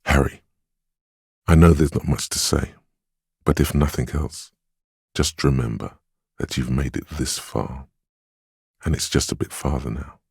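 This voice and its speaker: Very deep, gravelly voice of willpower. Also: male voice, a little slow, long pauses, a little mellow, no room sound, clean studio sound, heavily acted. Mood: solemn encouragement. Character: inner voice of willpower, a stoic, protective, encouraging male conscience. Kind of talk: dramatic game voice acting.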